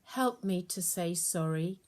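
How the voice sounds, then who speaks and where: A woman reading a scripted Bible story for children with a simple, deliberate and expressive delivery. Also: on the low side. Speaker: storyteller and prayer leader.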